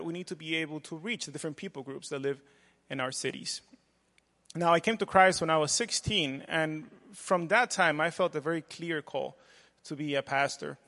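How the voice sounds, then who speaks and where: A man's voice: fairly high pitch, fairly bright tone. Earnest man in a reverberant sanctuary. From Peru. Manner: earnest, measured, with frequent "uh" fillers. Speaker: pastoral candidate. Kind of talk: church introduction speech. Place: large church sanctuary. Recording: long hall reverb.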